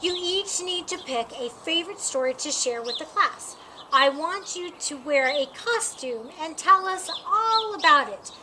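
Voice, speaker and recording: An eager school librarian from a picture book, voiced by a woman reader, speaking high and encouragingly. She instructs her class slowly and without ever being daunted. The recording has clear noise and birdsong. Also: expressive. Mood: instructing. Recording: clearly noisy, with birdsong behind.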